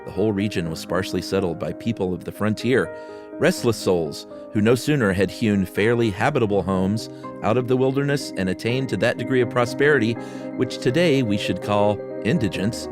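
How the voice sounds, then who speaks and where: A man's voice: lowish voice, fairly quick, over music. A man hosting a podcast, moving between casual chat and dramatic reading of a spooky story. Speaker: podcast host.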